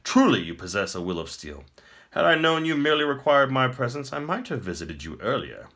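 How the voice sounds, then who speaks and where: Gloating tyrant wizard for fantasy audiobook man acting: raised pitch, wildly swooping, grand formal courtesy with mockery. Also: sing-song, fairly quick, bright, forceful tone. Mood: mocking delight. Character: gloating male wizard emperor, smooth-talking tyrant.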